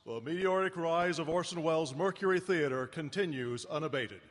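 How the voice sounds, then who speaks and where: Guy as old-timey radio critic, high voice, mannered, echoey hall. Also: moderate pace, close to an everyday voice. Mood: approving. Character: mannered newspaper critic delivering glowing praise. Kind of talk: 1930s radio acting. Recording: echoey town hall stage.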